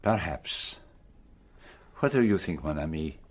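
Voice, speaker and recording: An actor playing an ageing, vain Belgian gentleman detective with a French-speaking Belgian accent, precise and theatrical in a radio play. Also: very deep voice, very animated, unhurried, slightly rough, full character voice. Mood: musing. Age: older. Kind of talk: radio drama acting.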